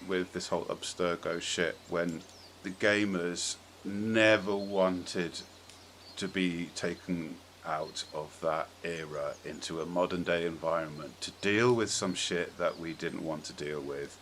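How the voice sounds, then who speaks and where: Very deep-voiced man, podcast host. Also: fairly even intonation, unhurried, fairly bright tone. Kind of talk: casual podcast discussion. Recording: clear background noise.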